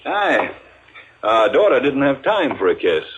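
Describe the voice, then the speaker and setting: An actor playing an easygoing, affectionate suburban husband and father in a 1950s radio drama, relaxed and colloquial. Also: mid-range voice, unhurried. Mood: breezy. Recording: muffled, hissy vintage radio recording.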